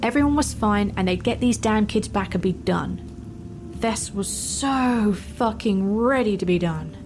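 A woman narrates a fantasy audio story. Her voice sits at an ordinary pitch and her delivery is measured and wry. Music plays behind her. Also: lively intonation, darkish tone. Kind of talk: dramatic fan fiction narration.

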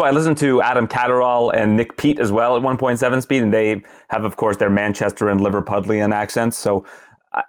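A man from Canada with a Canadian accent, a guest on a podcast, speaking in a measured, analytical way. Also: fairly low pitch, dry recording, clean studio sound. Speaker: podcast guest, sports journalist. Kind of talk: casual podcast chat.

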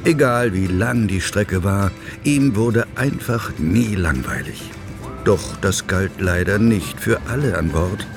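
A man narrating a scripted children's audio play as an expressive storyteller. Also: on the low side, takes it slowly. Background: music, vehicle sounds.

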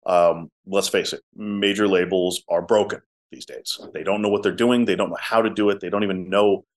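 A man with a low voice, a musician telling stories in a casual interview. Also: steady tone, moderate pace. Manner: talkative storyteller, drops to soft tones. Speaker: musician guest.

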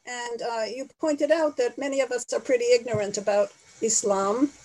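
A woman joins an online call to offer thanks, speaking in an earnest, slightly hesitant way. Her voice sits a little high. She comes through a video call connection. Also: fairly even intonation, normal speed, darkish tone, rough recording. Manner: earnest, appreciative, a bit hesitant. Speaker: caller. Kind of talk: casual chat.

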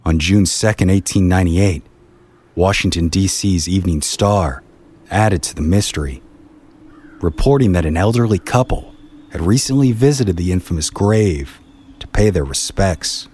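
Male narrator for history storytelling: very low, husky voice, measured and solemn. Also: darkish tone. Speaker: narrator, podcast host.

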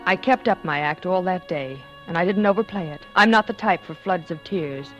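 An actress playing a scheming wife who feigns wan, gentle grief in a 1940s radio suspense drama, with dramatic, faltering phrasing. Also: female voice, fairly low pitch, moderate pace, muffled recording, lightly acted. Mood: guarded, calculating. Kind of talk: 1940s radio suspense acting and first-person narration. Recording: vintage 1940s radio broadcast, music underneath.

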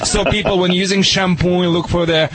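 A man co-hosting a radio show, teasing and cracking deadpan jokes. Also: high-pitched, moderate pace, breathy edge. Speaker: radio co-host. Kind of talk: joking radio banter.

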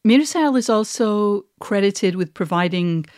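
A woman hosting a conversational podcast interview, speaking in a measured, appreciative way. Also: mid-range voice, expressive, darkish tone, no room sound, clean studio sound.

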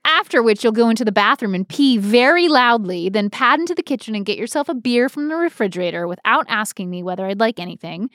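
Skeptical, blunt woman, read out by a woman, higher-pitched. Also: animated, fast talker, hardly any pauses, a bit bright, no room sound, studio quality. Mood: scornful. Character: Betty, skeptical, blunt woman rebuffing a stranger's pickup. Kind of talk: amateur dramatic play reading.

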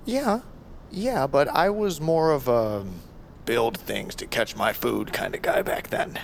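A man's voice: medium pitch, slow, gravelly, a little hiss or hum. A man playing an easygoing, considerate survival expert in audio fiction, with a hesitant, self-deprecating manner.

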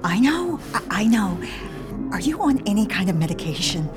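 A woman playing a level-headed, exasperated catering worker pleading for calm in an audio drama. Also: medium pitch, normal speed, music in the background, lightly acted.

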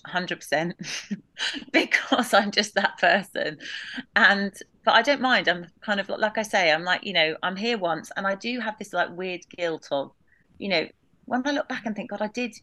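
A woman in her thirties recalling family loss in an interview, halting with many restarts, now and then laughing through it. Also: medium pitch, bright, forceful tone, dry recording. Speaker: podcast guest. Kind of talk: candid emotional interview.

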